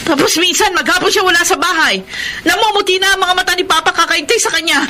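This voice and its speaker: A woman's voice: extremely high pitch, quick pace, noisy background. A woman playing an emotional, accusing grown daughter in a Filipino radio melodrama, with intense, dramatic delivery and a Filipino accent. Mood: troubled, confiding. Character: grieving, accusing adult daughter, married, devout and emotional.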